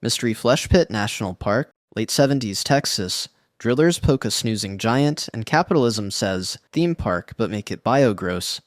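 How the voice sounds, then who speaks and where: A man's voice: on the low side, normal speed, hardly any pauses, no echo. Dry, wry man narrating horror rankings. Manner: slangy, wry deadpan delivery. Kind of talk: scripted internet narration.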